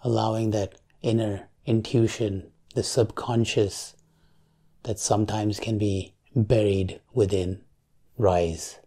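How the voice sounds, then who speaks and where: Male meditation guide, mellow tone, slow pace, calm, measured phrasing, frequent long pauses. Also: on the low side.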